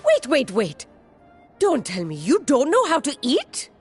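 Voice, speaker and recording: A woman playing a curious, excitable young scholar in a game cutscene, expressive and eager. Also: sits high, measured pace, full character voice. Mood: playful coaxing. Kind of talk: video game cutscene acting. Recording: music behind.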